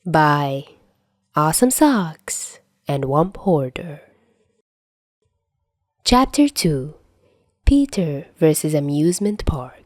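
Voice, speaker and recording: A woman's voice: low-pitched, big pitch swings, slow pace, long pauses, no room sound, clean studio sound. A woman narrating a scripted story in the manner of an audiobook reader. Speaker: podfic narrator.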